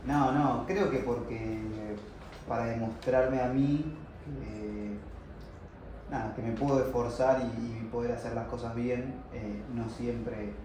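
A man in his early twenties with a Buenos Aires accent, talking casually and haltingly in a group discussion. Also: mid-range voice, steady tone. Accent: Argentine, Buenos Aires area. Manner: halting, repeats words while searching. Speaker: focus group participant. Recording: echoey noisy room, far from mic.

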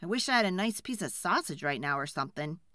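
A woman's voice: lowish voice, expressive, fast, background noise, natural voice. A woman voicing a meek, apologetic male woodcutter in a children's folk tale, hesitant and pleading. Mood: hungry, hesitant. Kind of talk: storybook character voice.

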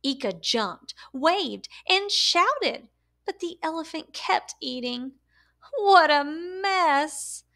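A woman reads a children's story aloud in a high voice. Her intonation swings widely and expressively. She goes slowly and deliberately, giving words emphasis for young listeners. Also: animated, a bit bright. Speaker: storyteller reading a picture book aloud.

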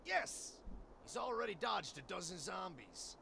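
A man's voice: sits high, sing-song, measured pace, vocal fry, pushed and bright, a little hiss or hum, exaggerated voice. A man voicing a grumpy, foul-mouthed, bossy rabbit in his sixties for a cartoon adventure game. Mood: dry, bossy. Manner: sweary, bossy, slangy. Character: grumpy foul-mouthed 63-year-old cartoon rabbit, scheming salesman, male. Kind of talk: voiced game dialogue.

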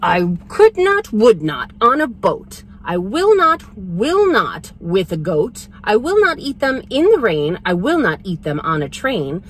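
A woman's voice: medium pitch, big pitch swings, unhurried, hardly any pauses, a little hiss or hum. A woman voicing a grumpy, stubborn male picky eater in emphatic, sing-song rhymed refusals. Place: inside a parked vehicle.

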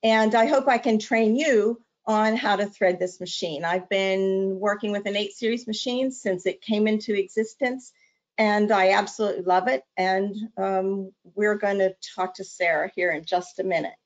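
A woman's voice: medium pitch, moderate pace, lo-fi sound. A woman product trainer presenting a webinar in an upbeat, conversational way with some fillers.

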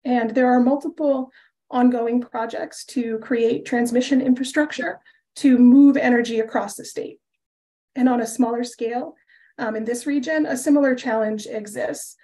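A woman presenting slides on a panel in a clear, organized way. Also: on the high side, fairly even intonation, moderate pace, warm tone. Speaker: panelist, utility representative.

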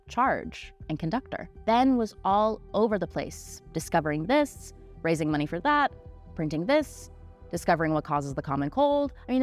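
Low-pitched young woman telling stories. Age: 20s. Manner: conversational, dramatic storytelling. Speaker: host of a history mystery show. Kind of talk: scripted narration with casual asides. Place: small indoor studio set. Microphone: desk microphone, close. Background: music.

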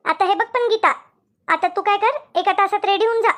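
Very high-pitched, chatty Maharashtrian village woman acted by a woman. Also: moderate pace, a bit bright. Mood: brisk, organising. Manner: chatty, repetitive, colloquial. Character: Shantabai, brisk, bossy, friendly village woman. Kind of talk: Marathi comedy cartoon dialogue.

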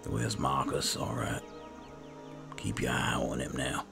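A man doing roleplay commentary over a video game, halting and musing to himself as he plays. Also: very deep voice, sing-song, creaky. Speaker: gamer narrating in character as he plays. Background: game music.

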